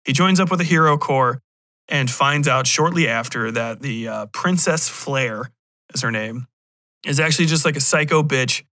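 A man's voice: mid-range voice, moderate pace, sharp tone, no room sound. A man hosting a podcast, giving a casual, rambling review in a profane, sardonic, incredulous manner. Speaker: podcast host.

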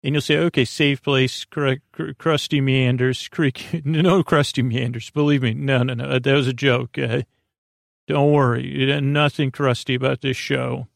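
Male sleep podcast host, gravelly creak, close dry recording, halting whimsical meandering monologue. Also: medium pitch, level tone, no echo. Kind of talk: rambling bedtime podcast monologue.

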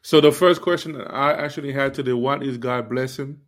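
A man born in Haiti speaks as the host of his own faith podcast. He talks straight to his listeners in an earnest, preachy way, full of "you know" and "like". His voice sits at an ordinary middle pitch. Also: fairly even intonation, a little fast. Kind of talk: casual faith podcast monologue.

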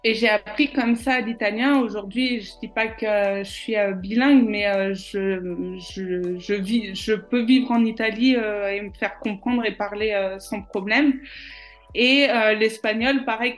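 A woman's voice: mid-range voice, fairly even intonation, normal speed, faint music behind. A woman guest in a podcast interview, telling her story casually, with lots of hesitations and restarts. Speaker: nutritionist guest.

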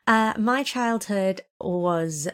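A woman's voice: medium pitch, drawn-out words, fairly bright tone. A woman in her twenties from Hong Kong, a podcast guest chatting in a wry, articulate way with frequent laughs. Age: 20s. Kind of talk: casual interview chat.

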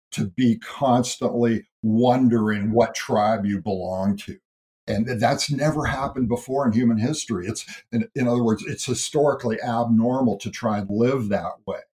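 A man's voice: mid-range voice, darkish tone. A middle-aged man from the United States, a writer and health educator being interviewed on a podcast, explaining his ideas in a thoughtful way and often repeating words as he goes.

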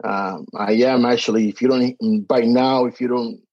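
Man, Dominican accent, halting speech with lots of uh's, dry dull lo-fi recording. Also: medium pitch, fairly even intonation, a little mellow, no echo. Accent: Dominican Spanish. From Dominican Republic. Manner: halting, many fillers and restarts. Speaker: podcast guest. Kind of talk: casual interview chat.

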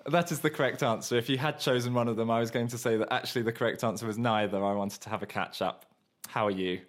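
A man's voice: mid-range voice, fairly even intonation, fast talker. Man talking through a sore throat. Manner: dry, teasing, chuckles often. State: has a cold and sore throat. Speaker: podcast co-host. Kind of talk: casual chat.

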